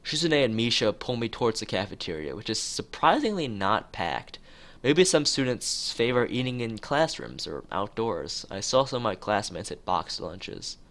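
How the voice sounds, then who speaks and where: A man streaming a visual novel in a fairly low voice with animated intonation. He reads aloud casually and drifts into hesitant asides. Plucked guitar music plays clearly behind him. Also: normal speed. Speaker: game streamer.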